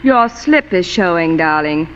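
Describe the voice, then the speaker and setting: Coaxing femme fatale on muffled radio. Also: female voice, on the low side, lively intonation, measured pace, fairly bright tone. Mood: cool, mocking. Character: seductive, scheming, cool married blonde femme fatale. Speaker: actress in a radio drama. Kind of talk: 1940s radio noir acting. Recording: muffled, reverberant, noisy old radio broadcast.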